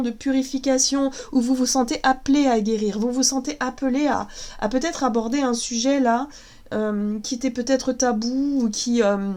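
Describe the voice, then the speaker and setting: A woman reads tarot cards for her viewers in a casual, meandering way, full of fillers and restarts. Her voice sits a little high, and she is still getting over a cold. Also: dark tone. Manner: hesitant, many fillers and restarts. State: has a cold, sniffly. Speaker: tarot card reader.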